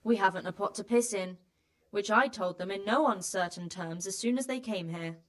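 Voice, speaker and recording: A flustered peasant wife, voiced by a woman, speaking in a mid-pitched voice. She hedges and stumbles while dodging a questioner, in a medieval video game cutscene. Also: normal speed, close to an everyday voice. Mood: evasive. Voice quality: evasive, flustered.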